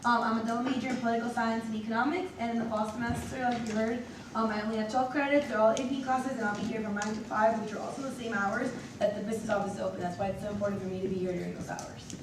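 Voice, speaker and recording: A woman's voice: a little high, steady tone, rapid-fire. A woman in her twenties from Venezuela introducing herself as a student nominee, upbeat. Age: 20s. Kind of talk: self-introduction speech. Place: large echoey meeting hall. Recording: echoey hall, far from the mic, noisy.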